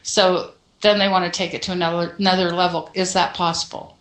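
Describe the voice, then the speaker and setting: A woman's voice: on the low side, little pitch movement, fairly quick, a bit bright. A woman calling in to a show, chatting casually as she puts her questions to the host. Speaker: caller with questions. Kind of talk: casual call-in conversation. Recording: echoey call-in sound.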